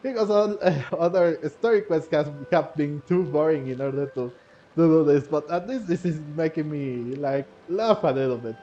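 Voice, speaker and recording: Guy doing casual gameplay commentary, muffled lo-fi mic, giggly n halting, mellow tone. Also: fairly high pitch, a little hiss or hum. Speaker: gaming video host. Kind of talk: casual game playthrough commentary. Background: faint game music.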